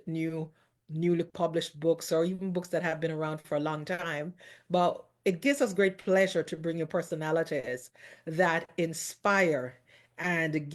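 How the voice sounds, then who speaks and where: A middle-aged woman from Jamaica, hosting a talk show in an effusive, exclamatory way. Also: on the low side. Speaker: host.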